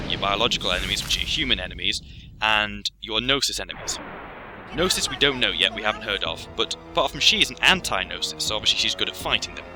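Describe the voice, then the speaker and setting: Low-voiced man explaining a video game. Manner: rambling, tutorial-like explaining. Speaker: game commentator. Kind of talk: casual gameplay explanation. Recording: game music behind voice. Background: video game music.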